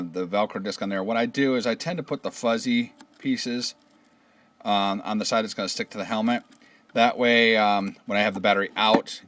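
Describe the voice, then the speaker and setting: A man's voice: on the low side, fairly bright tone. A man presenting a casual step-by-step instructional video, explaining things in a conversational, hands-on way. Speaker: host of an instructional product video.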